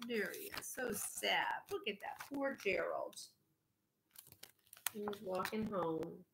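A middle-aged woman reading a picture book aloud to children, expressively, pausing to point things out. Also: medium pitch, expressive, gravelly, background noise. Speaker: teacher. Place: indoor home kitchen. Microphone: laptop or webcam at arm's length. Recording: roomy echo from a laptop mic.

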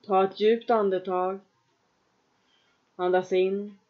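A woman leading a guided trance meditation, giving calm, slow instructions in the second person with long pauses. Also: mid-range voice, highs cut off.

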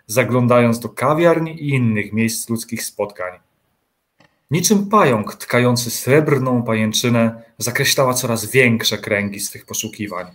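A man narrating a dark literary radio play in a measured storytelling manner. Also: medium pitch, natural voice.

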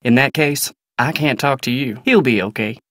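An American ranch hand from a video game, acted by a man. He talks plainly in a folksy drawl, courteous yet guarded. The medium-pitched voice is recorded dry, with no room around it. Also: moderate pace, a bit bright, dry recording, lightly acted. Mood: polite, firm. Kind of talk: video game character dialogue.

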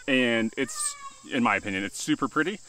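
Man, thirties, casual homestead vlog, outdoors, phone at arm's length, bright voice, self-deprecating. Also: mid-range voice, huge pitch swings, brisk, dry recording, poor audio. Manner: chatty, loose and self-deprecating, with some restarts. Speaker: homestead vlogger. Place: outdoors in a rural yard and woods. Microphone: handheld phone camera at arm's length.

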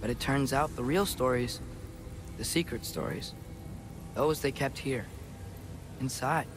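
A man's voice: medium pitch, unhurried, lightly acted. An actor playing an earnest, headstrong teenage boy in a video game, eagerly explaining and persuading. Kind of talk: dramatic video game acting. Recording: clear music behind the voice.

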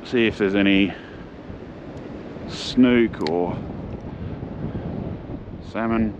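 An Australian man chatting casually as he films himself fishing from a seaside jetty, relaxed and full of slang. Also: fairly low pitch, drawn-out words. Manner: laid-back, chatty, slangy. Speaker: fishing vlogger. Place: outdoors on a jetty by the sea. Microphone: camera-mounted mic, first-person view. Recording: dull outdoor camera audio with wind noise. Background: wind and water.

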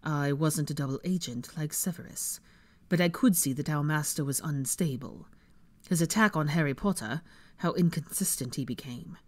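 Woman acting composed aristocratic wizard father, low and measured. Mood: grim, uneasy. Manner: deceptively calm, measured.

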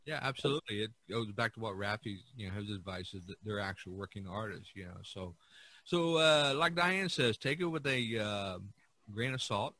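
A man's voice: on the low side, lively intonation, normal speed, sharp tone, dry recording. A man hosting a podcast, chatting casually in a rambling, emphatic way. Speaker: podcast host.

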